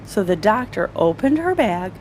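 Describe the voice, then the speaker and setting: A woman reading a children's story aloud in an animated, deliberate storytelling style. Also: mid-range voice, slight background noise.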